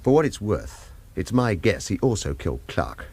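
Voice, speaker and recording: A man's voice: lowish voice, sing-song, moderate pace, gravelly, dark tone, slightly rough, exaggerated voice. An actor playing a wry, wary ex-agent on the run who tells his own story in a terse, hard-boiled radio thriller style. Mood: wary, insistent. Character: retired male agent turned wary hunted fugitive, wry. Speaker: lead character and first-person narrator. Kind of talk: radio thriller acting and narration.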